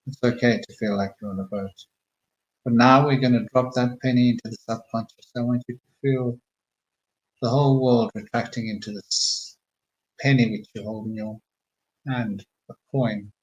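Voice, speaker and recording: A man slowly talking listeners through a guided meditation with calm, murmured instructions. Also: mid-range voice, muffled recording. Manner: slow, soothing, murmured instructions.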